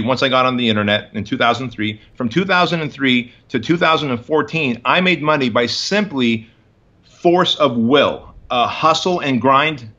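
A forceful, bright-toned man in his forties tells his story as an interview guest, hammering his points home like a motivational speaker. He talks over a video call from his office, and the audio sounds a little dull and muffled. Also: medium pitch. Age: 40s. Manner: emphatic, punchy motivational delivery. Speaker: guest entrepreneur. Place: office with bookshelves, indoors. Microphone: video call webcam.

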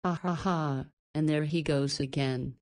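A confident visual novel heroine with a notably low voice. She is knowing and playful, forthright when she needs to be, and protective underneath the teasing. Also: fairly even intonation, unhurried, darkish tone.

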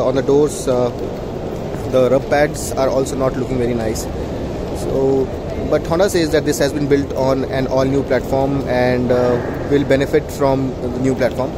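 A man hosting a casual walkaround of a car at an indoor expo, talking conversationally with frequent pauses. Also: mid-range voice. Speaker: car show host. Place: large indoor exhibition hall. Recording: loud crowd and hall noise. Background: loud expo hall crowd noise.

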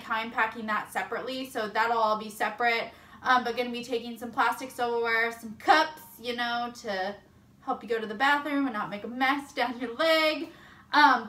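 A woman's voice: on the high side, pushed and bright. A young woman chatting casually to camera as a fitness vlogger, upbeat and informal, recorded in a room at home. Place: indoors at home, kitchen and bedroom. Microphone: camera or phone a short distance away. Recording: roomy echo of home interior.

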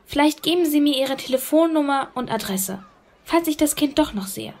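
A woman's voice: sits high, lively intonation, moderate pace. A woman playing an uneasy, evasive fruit seller and reluctant accomplice in a German audio drama. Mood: evasive, polite. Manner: hesitant, evasive.

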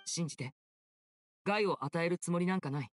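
A man playing a polite, gentle, self-possessed young stage magician in an anime game, courteous and reassuring. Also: a little high, normal speed, dry recording, clean studio sound, lightly acted. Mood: earnest, persuasive.

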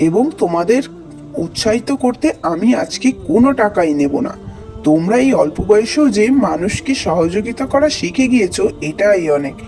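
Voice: medium pitch, a little mellow, natural voice. A performer voicing a kindly, pious uncle who sells street snacks in a children's cartoon, benevolent and encouraging. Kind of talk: children's moral cartoon dialogue.

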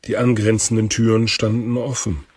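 A man's voice: lowish voice, flat delivery, normal speed, darkish tone, no echo. A man reading scripted narration for a fantasy audio drama.

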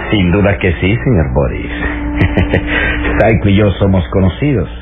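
A tinny old radio drama with music behind. a sly, servile underworld informant in a low, very expressive voice. He is smug and deferential to his boss. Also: slow pace. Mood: smug, menacing. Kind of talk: old radio serial acting.

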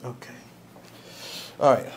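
A middle-aged man working as a chiropractor, explaining the exam to a patient and often checking in with short questions. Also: takes it slowly. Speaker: chiropractor, video host. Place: small indoor clinic treatment room. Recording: clear background room noise.

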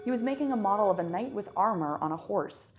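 A woman's voice: medium pitch, expressive, fast talker, soft background music. A young adult woman reading a diary entry aloud indoors as a guest reader. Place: indoor gallery room.

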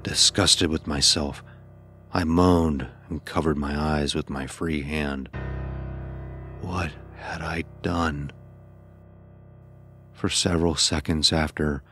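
A man narrating a scripted first-person horror story in a grave, deliberate storytelling manner. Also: a bass voice, a bit bright.

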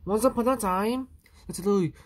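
A woman reading a children's picture book aloud, with a halting delivery and occasional stumbles. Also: mid-range voice. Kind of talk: children's story read aloud.

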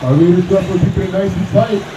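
A man with a loud voice calling driver lineups and lap counts over the PA at an outdoor race track. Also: high voice, fast talker, dull audio, crowd noise. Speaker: race announcer. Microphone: PA loudspeaker. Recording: echoey PA heard from afar, noisy.

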